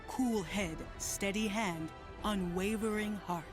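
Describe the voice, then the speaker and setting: An actress playing a calm, wise spiritual warrior champion in a video game, speaking in a measured, solemn way. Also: female voice, mid-range voice, music in the background, close to an everyday voice. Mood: calm, resolute.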